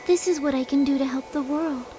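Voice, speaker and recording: An actress voicing a gentle young woman who carries a heavy burden, speaking softly and earnestly. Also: high voice, a little fast, noisy background, close to an everyday voice. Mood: resolved. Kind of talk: video game dub acting.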